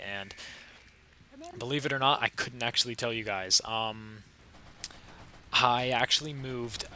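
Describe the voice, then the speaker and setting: Young male gaming host, under the weather, raspy edge, sharp bright tone, low-ish voice, faint hiss behind. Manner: casual, laid-back, chatty with frequent fillers and short laughs. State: sick, slightly raspy voice. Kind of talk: casual gameplay commentary.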